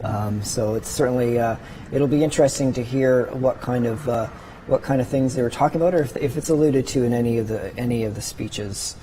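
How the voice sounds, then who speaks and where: A man anchoring live news coverage from a studio as host, commenting in a hesitant, halting way. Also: mid-range voice, dark tone. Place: broadcast studio. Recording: clear background noise.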